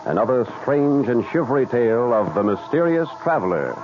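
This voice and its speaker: Animated 1940s radio announcer, male. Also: mid-range voice, a little fast, noisy background. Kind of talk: formal 1940s network announcing. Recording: dull 1940s radio recording.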